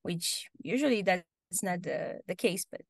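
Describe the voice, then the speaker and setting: A young woman from Romania with a Romanian accent, answering interview questions casually on a video call, often pausing with ums and restarting sentences. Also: fairly low pitch, lively intonation, vocal fry, dry recording, clean studio sound. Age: young adult. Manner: hesitant, many ums and restarts. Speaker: guest. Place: home room, video call.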